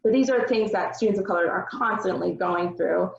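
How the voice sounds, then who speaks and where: A young adult woman giving an earnest, measured seminar presentation with frequent filler words. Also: medium pitch, vocal fry. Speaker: research presenter. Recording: roomy, dull lo-fi sound.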